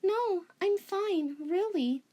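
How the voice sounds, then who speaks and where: A woman voicing a lovestruck young anime heroine in a breathy, overwrought, mock-dramatic fanfiction reading. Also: very high voice, animated, takes it slowly, dark tone, voice pushed up, exaggerated voice. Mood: meek.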